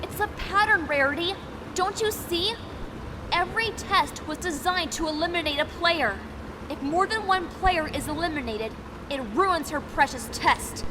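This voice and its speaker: A woman's voice: extremely high pitch, normal speed, noisy background. A woman voicing an earnest, resolute young pony princess in a dramatic story reading, urgent and emphatic as she pleads. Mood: grim, resolute. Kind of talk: dramatic fan fiction reading.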